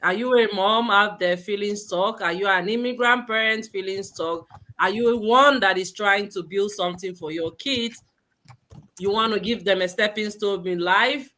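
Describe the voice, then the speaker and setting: A woman's voice: medium pitch, moderate pace, pushed and bright. A woman from Nigeria hosting a live online webinar, upbeat and exhortative, asking many questions of the audience. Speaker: host. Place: lives in Sweden.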